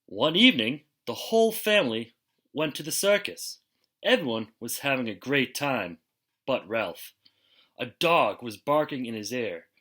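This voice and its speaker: Male storybook reader for young children, unhurried and forceful, with expressive, dramatic delivery. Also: medium pitch. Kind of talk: expressive children's picture book read-aloud.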